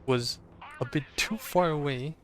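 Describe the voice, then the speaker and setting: A man's voice: fairly high pitch, a little slow, a bit bright. A man casually commentating his own strategy game match, rambling with fillers, his voice strained and sore. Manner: rambling, many fillers and restarts. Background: game gunfire and explosions.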